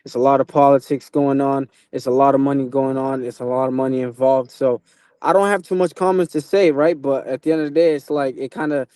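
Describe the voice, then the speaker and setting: A young man from Portugal who moved to the US as a boy, chatting casually as an interview guest, halting and repeating himself with lots of "you know". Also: medium pitch, fairly even intonation, dark tone, smooth, dry recording, studio quality. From Portugal, moved to the US at twelve. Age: young adult. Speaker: professional boxer, interview guest.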